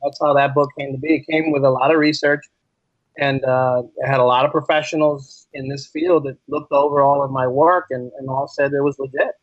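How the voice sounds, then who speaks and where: Male interview guest, medium pitch, conversational explainer, close dry sound that is a little lo-fi. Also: little pitch movement, a little fast, dry recording. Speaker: podcast guest, ESL teacher. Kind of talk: casual interview chat.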